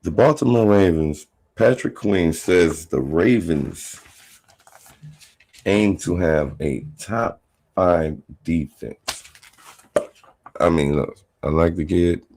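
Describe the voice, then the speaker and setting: Slow, gravelly low-voiced man. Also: darkish tone. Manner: rambling, many fillers and restarts. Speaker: sports podcast host. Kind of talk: casual solo sports commentary.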